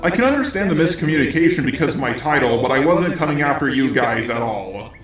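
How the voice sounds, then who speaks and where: Male robotic text-to-speech narrator for rebuttal commentary, average pitch, roomy echo, music underneath. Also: very animated, fast talker. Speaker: commentary video host. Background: background music.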